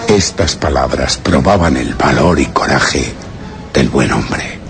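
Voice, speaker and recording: Male narrator, extremely low voice, grave measured storytelling for horror radio theatre, loud music bed. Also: lively intonation. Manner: solemn, measured, literary delivery. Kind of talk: dramatic scripted first-person suspense narration.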